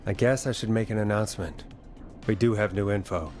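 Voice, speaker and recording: A man's voice: fairly low pitch, brisk, a little mellow, faint music behind, close to an everyday voice. A man playing a wry, composed late-night radio DJ in a video game. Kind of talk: video game voice acting, 1980s radio host.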